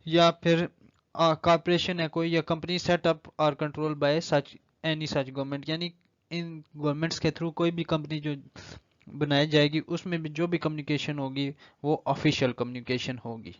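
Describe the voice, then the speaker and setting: A man's voice: on the high side, steady tone, measured pace, dry recording. Pakistani man lecturing, slightly dull recording. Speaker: law lecturer. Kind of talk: explanatory lecture, reading statute aloud then explaining.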